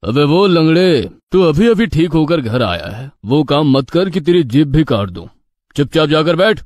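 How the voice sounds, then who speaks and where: A man's voice: fairly high pitch, very animated, normal speed, a little mellow, no room sound. A man acting a cruel, bullying village moneylender in a cartoon story, threatening and commanding.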